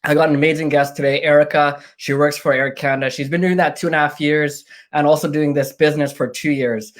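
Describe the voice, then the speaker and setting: A man's voice: on the high side, steady tone, fast. An upbeat man hosts a casual interview. He speaks in an encouraging way, with plenty of fillers between thoughts. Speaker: interview host.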